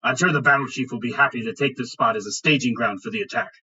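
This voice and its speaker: A gruff orcish patrol leader, put on by a male game master. The voice growls deep and husky with a hard, forceful edge. He sounds suspicious and used to giving orders in a fantasy game. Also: quick pace, far from the mic, lo-fi sound. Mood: commanding.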